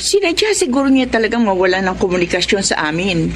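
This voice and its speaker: An actress playing an aggrieved, dismissive sister in a Tagalog radio drama. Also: female voice, mid-range voice, sing-song, fast, noisy background, lightly acted. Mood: resentful, dismissive. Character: resentful, stubborn sister of a runaway young woman.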